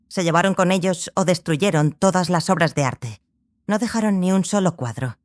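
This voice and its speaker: An actress playing a serious, scholarly sorceress in an audiobook drama, speaking in a firm, measured, didactic way. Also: female voice, on the low side, no room sound, clean studio sound, lightly acted.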